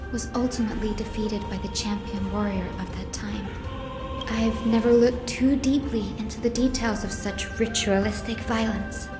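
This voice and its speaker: A woman's voice: a little high, natural voice. A woman playing a kind, optimistic young priestess in a video game, speaking earnestly. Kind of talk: scripted video game voice acting. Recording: echoey game audio with music behind.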